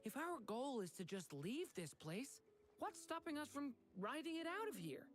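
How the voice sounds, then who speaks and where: An earnest young dragon boy from a video game, speaks with hope and encouragement. The pitch moves freely and expressively, with faint music behind. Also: big pitch swings, moderate pace. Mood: earnest, hopeful. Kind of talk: video game story voice acting.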